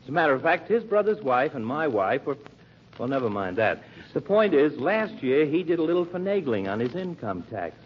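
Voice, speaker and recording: An actor playing a gossiping American barber with a rambling, colloquial storytelling manner, in 1940s radio comedy style. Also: male voice, a little high, big pitch swings, fairly quick. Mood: gossipy, warning. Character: chatty, gossiping small-town male barber. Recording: muffled, noisy old radio recording.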